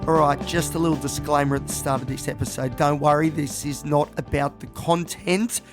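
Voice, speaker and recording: An Australian man hosting his own podcast, talking casually and rambling with lots of ums, croaky and nasal from a head cold, self-deprecating about his voice. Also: fairly high pitch, fairly even intonation, brisk, over music. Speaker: podcast host. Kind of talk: casual solo podcast monologue.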